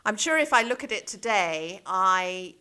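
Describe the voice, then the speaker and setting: A woman's voice: mid-range voice, lively intonation, unhurried, sharp tone, smooth. A middle-aged woman with a South African accent, talking reflectively and conversationally as an interview guest. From South Africa, born in Zambia. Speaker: interview guest, head of a junior school.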